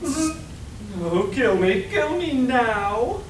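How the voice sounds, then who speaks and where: A distant, echoey live radio-play recording with faint noise. A woman plays a pretentious, overwrought constructed fashion lover in a high voice, melodramatic and gushing. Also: very animated, drawn-out words, exaggerated voice. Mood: anguished awe. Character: overwrought constructed fashion aesthete, pompous and despairing.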